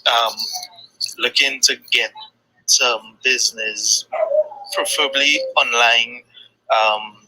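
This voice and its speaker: A man from Trinidad and Tobago with a Trinidadian accent, answering questions as a guest in a halting way, full of fillers. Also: mid-range voice, steady tone, noisy background. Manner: hesitant, halting, with many fillers. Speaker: guest, freelance graphic designer. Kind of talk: casual conversation.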